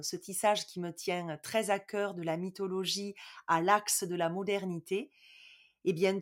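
A woman hosts an interview and introduces her guest. Her phrasing is lyrical and admiring, a little formal, with the odd small hesitation. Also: medium pitch. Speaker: host. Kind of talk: interview host.

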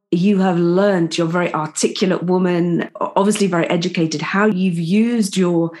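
A woman interviewer asking attentive, affirming questions. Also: on the low side. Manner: attentive, affirming, with soft listening sounds.

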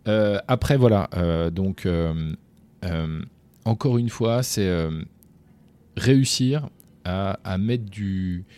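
A man's voice: sits low, slow. A man, a company founder and chief executive, talking frankly and casually as an interview guest, with lots of fillers and restarts. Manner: frank and colloquial, lively, with many fillers and restarts.

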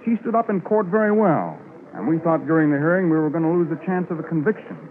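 An actor playing a matter-of-fact police officer recounting cases in conversational 1950s radio drama style. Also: male voice, fairly high pitch, lively intonation, fast, phone-quality sound, natural voice. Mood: reflective, firm. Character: male police officer calmly recounting an old case. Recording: noisy band-limited 1950s radio recording.

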